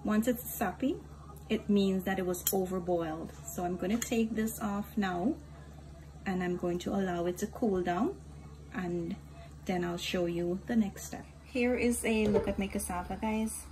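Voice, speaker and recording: A woman with a Guyanese accent hosting a home cooking tutorial, giving calm step-by-step instructions and often addressing viewers as "guys". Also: medium pitch, a little slow, low-quality recording. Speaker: cooking channel host. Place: home kitchen. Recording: home recording with audible kitchen noise. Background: dishes, pots and kitchen clatter.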